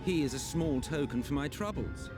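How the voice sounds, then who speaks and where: A man playing an arrogant, theatrical video game villain, weary and menacing, speaking in a formal, grandiose, drawn-out way. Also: fairly high pitch, close to an everyday voice. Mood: scornful, smug. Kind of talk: dramatic video game voice acting. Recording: loud game music under the voice. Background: orchestral game music.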